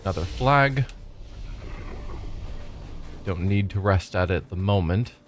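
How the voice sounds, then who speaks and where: A man's voice: low-pitched, animated, unhurried, a little hiss or hum. A congested man commentating casually as he plays a video game, musing and chatting as he goes. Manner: musing, chatty, thinking aloud. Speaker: streamer playing a game. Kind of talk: casual let's play commentary. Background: game music.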